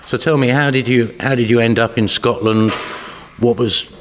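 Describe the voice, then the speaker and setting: A man's voice: medium pitch, fairly even intonation, normal speed, a little hiss or hum. A man interviewing a boxer, asking long multi-part leading questions. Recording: long reverb.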